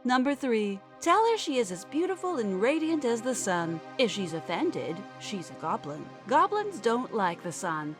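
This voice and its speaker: A woman narrating scripted fiction in a measured, earnest manner, as a podcast storyteller. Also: a little high, big pitch swings, over music. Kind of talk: scripted fiction narration, mock advice column.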